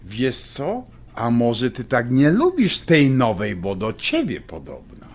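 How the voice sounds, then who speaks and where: A man's voice: mid-range voice, wildly swooping pitch, slow pace, heavily acted. A man playing an exasperated husband in an audio drama, complaining and talking back sarcastically. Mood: exasperated, teasing.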